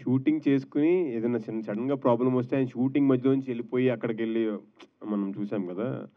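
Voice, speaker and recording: A man with an Indian accent answering press questions conversationally, haltingly, mixing English phrases into his speech. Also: medium pitch. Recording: muffled, narrow-band event sound.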